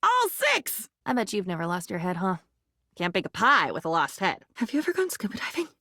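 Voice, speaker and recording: A woman's voice: medium pitch, wildly swooping pitch, a little fast, sharp tone, dry recording, clean studio sound, full character voice. A woman voicing an anxious, lonely living diary in a video game, rambling and disjointed, lurching between moods and volumes in a theatrical way. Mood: scattered, weary.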